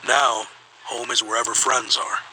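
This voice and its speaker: A man's voice: mid-range voice, level tone, normal speed, bright, forceful tone, slight background noise, low-quality recording. A man playing an earnest, loyal friend in sincere fantasy video game dialogue, with music behind him. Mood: wistful.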